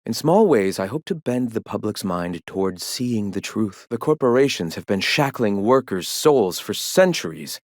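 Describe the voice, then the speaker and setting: An actor playing a zealous philosopher who leads an anti-corporate rebel sect in a video game, speaking in lofty, measured, formal oratory. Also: male voice, lowish voice, very animated, a little mellow, no echo, clean studio sound. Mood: lofty, earnest. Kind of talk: video game character dialogue.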